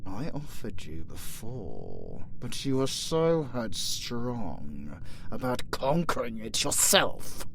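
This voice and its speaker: A British man voicing a skeletal undead necromancer in an audio roleplay, a vengeful, flirtatious villain with a creaky, melodramatic delivery that moves between hushed and screamed. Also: mid-range voice, wildly swooping pitch, takes it slowly, slight background noise, heavily acted. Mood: teasing, wistful. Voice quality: creaky, breaking into shrieks and whispers. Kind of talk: audio roleplay acting, ASMR-leaning.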